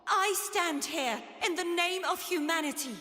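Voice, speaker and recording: An earnest young heroine of a fantasy game. Her high voice moves slowly and solemnly, full of resolve and impassioned conviction, with music clearly behind it. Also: in a large hall. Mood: resolute. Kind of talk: dramatic video game acting.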